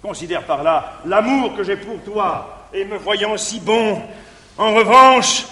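A man playing a jealous middle-aged guardian in declaimed stage verse, swinging from tender pleading to shouting, in a reverberant 1959 theatre recording. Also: high voice, slow, exaggerated voice. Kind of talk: 1950s French stage verse acting.

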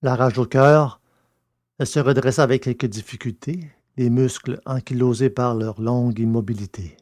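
A man's voice: mid-range voice, fairly even intonation, moderate pace, a little mellow, dry recording, studio quality. A man reading scripted narration for an audio drama.

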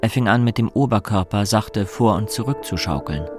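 Low-voiced male radio-play narrator. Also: moderate pace, over music. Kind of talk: scripted literary narration for radio drama.

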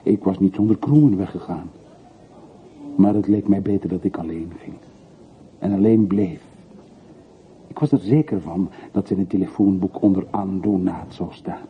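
Through a narrow, muffled old radio sound with faint hiss comes a low-voiced man. He is wistful yet used to giving orders, speaking formally and reflectively, as in a radio play about coming home. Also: fairly even intonation, moderate pace, long pauses. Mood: reminiscing. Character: wistful, commanding man revisiting his old hometown. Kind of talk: radio drama acting.

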